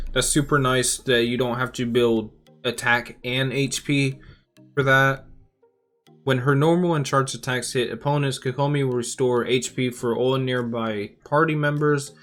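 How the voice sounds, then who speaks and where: A man giving casual game reaction commentary as a YouTuber, talking informally and rambling as he thinks out loud. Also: medium pitch. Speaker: gaming YouTuber. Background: music.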